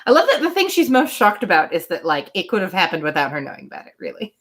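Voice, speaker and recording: A woman reading a classic novel aloud, giving the dialogue an expressive, shifting delivery, then chatting about the story. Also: on the low side, fast talker. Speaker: reader and host.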